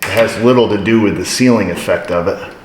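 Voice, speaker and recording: A man's voice: lowish voice, a little fast. A middle-aged man, an auto mechanic, explaining a repair in a casual how-to way with wry, dry, self-mocking asides while he works. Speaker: auto mechanic, shop owner. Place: indoor auto repair shop garage. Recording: echoey garage with shop noise.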